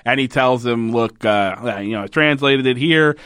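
A man's voice: medium pitch, normal speed, fairly bright tone, clear, resonant tone, no room sound, clean studio sound. A man in casual podcast chat, sarcastic and swearing often as he recaps a TV episode and acts out its lines. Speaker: podcast co-host.

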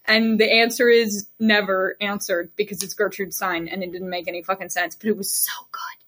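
A young woman hosting a podcast, chatting casually and joking, swearing freely, with a shrill voice. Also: little pitch movement, normal speed, a bit bright. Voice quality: self-described shrill voice. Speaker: podcast host. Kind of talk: casual chat among friends.

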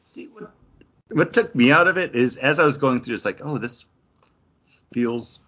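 A man's voice: mid-range voice, moderate pace, bright, forceful tone. A man co-hosting a podcast, talking casually about comic books. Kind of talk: casual comic book review chat. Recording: dull, lo-fi sound.

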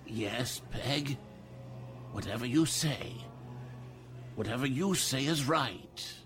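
A man playing a credulous, anxious uncle in a radio drama, with a halting, pleading, theatrical delivery. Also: medium pitch, expressive, a bit bright, a little hiss or hum. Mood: anxious, submissive. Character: credulous, anxious wealthy uncle yearning for dead woman.

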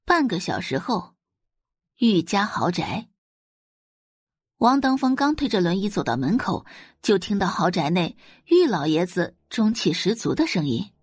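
A woman narrating a dramatic audio novel in an expressive storytelling manner. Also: medium pitch, measured pace, husky, dry recording, clean studio sound. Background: faint background music.

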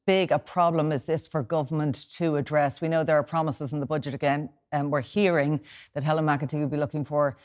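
A woman's voice: low voice, fairly even intonation, a little fast, darkish tone. A woman hosting a radio panel and putting probing questions to her guests. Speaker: radio host.

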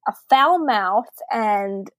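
A woman's voice: mid-range voice, very slow, a bit bright, no echo, clean studio sound. A young adult woman co-hosting a podcast in casual chat, candid and chatty with frequent laughs. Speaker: podcast co-host, book club organizer.

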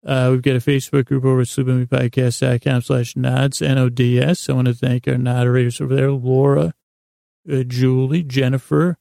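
A man with a heavily creaky, gravelly voice and a dark, relaxed tone, recorded close and dry. He hosts a sleep podcast and rambles haltingly, drifting from one half-finished thought to the next in a whimsical, self-deprecating way. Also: mid-range voice, dry recording. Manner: meandering, halting, trails off mid-thought and circles back. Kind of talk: rambling bedtime monologue.

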